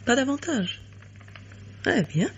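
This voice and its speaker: A woman's voice: high-pitched, very animated, takes it slowly, breathy edge, heavily acted. An actress playing a poised, sugary and coolly ironic rival woman in a 1950s radio mystery style. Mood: coolly mocking. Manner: honeyed, condescending, coolly ironic. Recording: dull, noisy vintage radio recording.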